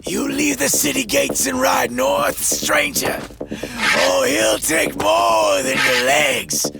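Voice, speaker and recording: An actor playing a bitter one-legged monster hunter who boasts loudly and drops into hoarse whispers. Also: male voice, extremely high pitch, huge pitch swings, takes it slowly, over loud music, full character voice. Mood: haunted warning. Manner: blustering, shouting boasts then dropping to hoarse whispers. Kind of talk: audio drama acting.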